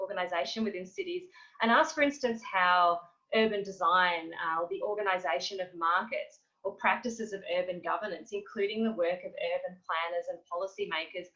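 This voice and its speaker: A woman reads a prepared academic talk over a video call, measured and earnest. The audio sounds dull and lo-fi. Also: fairly low pitch, a bit bright. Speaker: panel speaker, researcher.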